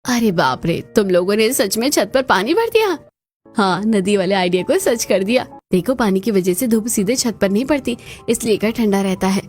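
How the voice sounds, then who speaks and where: A woman's voice: mid-range voice, animated, moderate pace, a little mellow, soft background music, lightly acted. A woman voicing housewives and mothers-in-law in a family cartoon, chatty and exclamatory. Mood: excited, teasing. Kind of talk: scripted cartoon dialogue.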